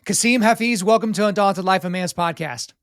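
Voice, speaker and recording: A man's voice: high voice, brisk, dry recording, clean studio sound. A man hosting an interview podcast, eager and outspoken, asking long rambling questions. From United States. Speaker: podcast host. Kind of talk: conversational interview.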